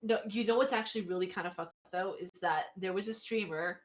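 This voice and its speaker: A woman's voice: medium pitch, quick pace. A woman telling anecdotes in casual podcast chat, informal, slangy and sweary. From American Israeli background. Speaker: podcast panelist who streams.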